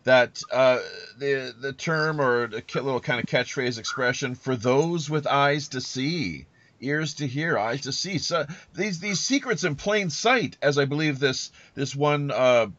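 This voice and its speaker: A man hosting a casual interview, talking in a halting, rambling and wordy way. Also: mid-range voice, a bit bright, no echo, lo-fi sound. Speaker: podcast host.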